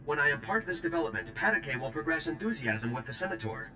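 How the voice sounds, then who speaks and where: A man's voice: fairly low pitch, sing-song, fast, reverberant, music in the background. A male actor playing an earnest, aggrieved alien maintenance worker in a video game, speaking in stilted, formal, oddly worded phrases. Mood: grateful, formal. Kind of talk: video game character acting.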